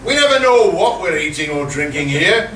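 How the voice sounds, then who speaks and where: A man's voice: sits high, very animated, normal speed, bright, forceful tone, exaggerated voice. A man acting a pompous, blustering church treasurer in an amateur radio comedy, stammering and pedantic. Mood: irritated. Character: pompous, flustered church treasurer who turns snappish. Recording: echoey hall, distant, noisy audience.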